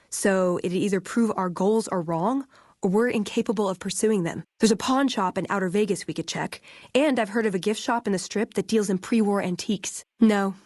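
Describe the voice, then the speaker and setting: An American actress playing an earnest, wry young idealist in a video game, speaking with dry sarcasm and conviction. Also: female voice, medium pitch, darkish tone, no room sound, lightly acted. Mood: disheartened. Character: earnest, wry young woman, idealistic tech-order scribe. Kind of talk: video game character dialogue.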